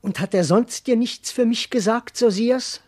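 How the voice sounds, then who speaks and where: A man's voice: very high-pitched, measured pace, darkish tone. A man mimicking a gushing, courtly princess. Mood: eager. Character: gracious princess Alkmene, imagined and mimicked by a servant. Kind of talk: classical verse comedy radio play.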